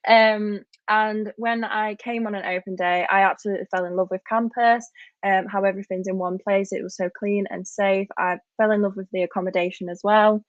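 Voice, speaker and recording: Young female student, casual and flat in pitch, on a muffled lo-fi mic. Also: fairly quick, no room sound. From Doncaster, England. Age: young adult. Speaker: student panellist. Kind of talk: casual panel talk. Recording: dull, lo-fi, dry audio.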